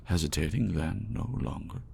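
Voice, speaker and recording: Really low croaky guy doing slow hushed asmr poem reading, almost whispering, faint rain and thunder rumble behind. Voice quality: hushed, breathy, often near-whisper. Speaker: narrator. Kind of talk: ASMR audiobook poetry reading, dramatic. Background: rain and thunder sounds.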